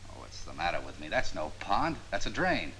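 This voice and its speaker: An actor playing a confident, meticulous Army photo interpreter in a 1940s training film, explaining things precisely. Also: male voice, sits low, sing-song, a little fast, pushed and bright, exaggerated voice, rough recording. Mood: self-assured. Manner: precise, self-assured, explanatory. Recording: 1940s film soundtrack with faint hiss.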